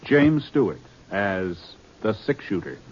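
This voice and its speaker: A man announcing a 1950s radio program in a formal, deliberate, dramatic scripted delivery. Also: on the low side, sing-song, breathy edge. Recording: dull 1950s radio recording, music behind. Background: music.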